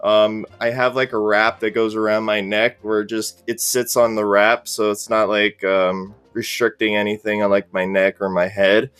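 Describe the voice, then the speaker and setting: A man's voice: fairly low pitch, level tone, normal speed, few pauses, fairly bright tone, clear, resonant tone, dry recording, soft background music. A man chatting casually as a podcast guest, in an easygoing, rambling manner. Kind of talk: casual interview chat.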